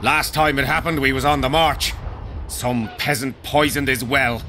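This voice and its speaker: A man's voice: medium pitch, steady tone, moderate pace, sharp tone, faint music behind, close to an everyday voice. Man playing a blunt, battle-hardened medieval soldier. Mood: proud, fond. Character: rough, battle-hardened medieval army veteran, proud and blunt. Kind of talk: video game dialogue acting.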